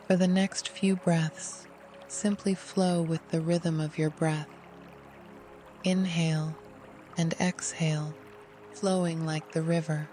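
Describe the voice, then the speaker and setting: A meditation guide giving scripted, gentle, soothing instruction in an unhurried way. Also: mid-range voice, little pitch movement, soft background music.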